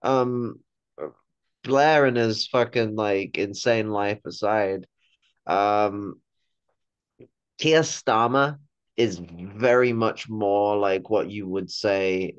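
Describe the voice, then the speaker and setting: A man's voice: lowish voice, slow pace, smooth, dry recording, highs cut off. A young man giving blunt, sweary political commentary in a casual way over a video call. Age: young adult. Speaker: panel participant. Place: indoor room at home. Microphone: video call microphone.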